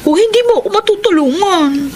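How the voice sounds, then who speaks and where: High-pitched brash flirty Filipina. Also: female voice, animated, brisk, darkish tone, slight background noise. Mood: flirty, cheeky. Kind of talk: Filipino radio drama acting.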